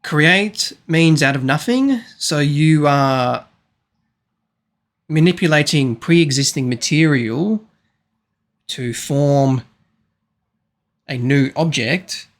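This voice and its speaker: A man's voice: on the high side, slow pace, long pauses. A man arguing on a voice call, insisting on his points, repeating himself and stumbling over some words. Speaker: debater. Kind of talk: casual online debate.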